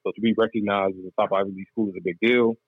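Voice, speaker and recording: A man's voice: lowish voice, level tone, very fast, husky, no room sound, phone-quality sound. A man guest on a chat show, telling his story candidly in laid-back slang. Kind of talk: casual interview chat.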